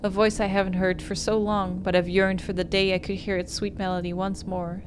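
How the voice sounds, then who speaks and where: A woman's voice: medium pitch, flat delivery, brisk, noisy background. A woman hosting a game playthrough, reading story narration aloud with casual asides. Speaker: gaming host.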